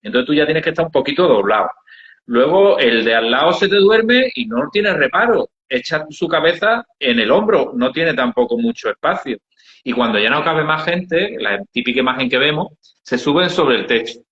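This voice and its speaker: Andalusian man telling stories, bright, roomy. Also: on the high side, animated. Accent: Andalusian Spanish. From Andalusia, Spain. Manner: unhurried storytelling with many hesitations. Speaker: interviewee, founder of an aid project. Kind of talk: telling travel anecdotes in an interview. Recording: dull, roomy recording.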